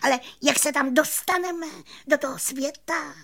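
A woman voicing a tiny, excitable male cricket in a children's story, squeaky and chattering with repeated words. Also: vocal fry. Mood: excited. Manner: chattering, stammering repetitions.

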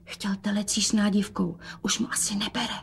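A woman voicing a middle-aged mother in a story, with drawn-out gushing exclamations and hushed confidences. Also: mid-range voice, flat delivery, husky. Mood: conspiratorial.

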